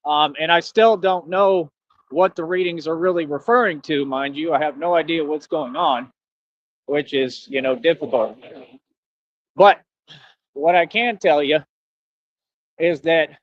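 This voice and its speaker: High-voiced rambling Texan man. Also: dry recording. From Texas. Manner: rambling, fillers like "you know what I mean", bursts of laughter. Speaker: streamer. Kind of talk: casual rambling monologue. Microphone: cheap headphones with mic. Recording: dry, dull lo-fi sound.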